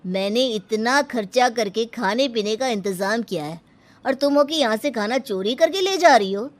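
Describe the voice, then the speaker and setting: A woman's voice: fairly high pitch, animated, moderate pace, natural voice. A woman voicing an indignant man hosting a wedding in a cartoon story, scolding in an accusing, emphatic way.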